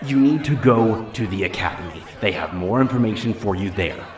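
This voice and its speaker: Man acting a low-voiced official in echoing space. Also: animated, fairly quick, close to an everyday voice. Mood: firm, official. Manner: authoritative, formal. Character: male official escort, firm, orderly, dutiful. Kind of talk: dramatic audio roleplay acting. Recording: echoey outdoor scene with crowd noise. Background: crowd.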